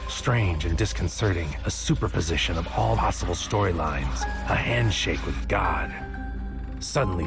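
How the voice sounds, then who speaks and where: An actor playing a wary investigator, narrating his mission in a measured, literary first person for an audio drama. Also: low-pitched. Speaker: narrator and lead character.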